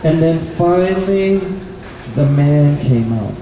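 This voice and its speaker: A man's voice: a little high, expressive, takes it slowly, warm tone. Muffled, echoey man telling a children's story. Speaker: storyteller, puppeteer. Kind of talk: live children's storytelling at a puppet show. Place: public event in a bookstore, mic at a distance. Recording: echoey, distant, noisy live event recording.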